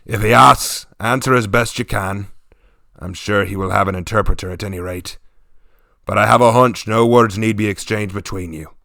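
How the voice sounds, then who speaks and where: A man voicing a stern, gruff warlord chieftain speaking to his daughters, grave and commanding. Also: lowish voice, fairly even intonation, sharp tone, dry recording, studio quality. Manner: commanding, grave, slow and weighty.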